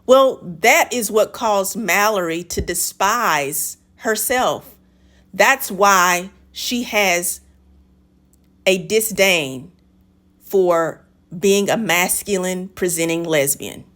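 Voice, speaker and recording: A woman's voice: medium pitch, slow pace, a bit bright. A woman hosting a reaction video, commenting on a clip in an emphatic, lecturing way and addressing the guest directly.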